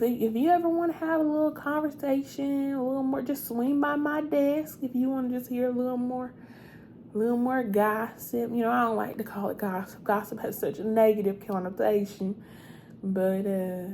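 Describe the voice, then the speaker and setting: A woman playing a sweet, chatty, gossipy hotel receptionist in a soft-spoken, confiding ASMR roleplay, repeating her words. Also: on the high side, slight background noise, lightly acted. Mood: chatty, self-justifying.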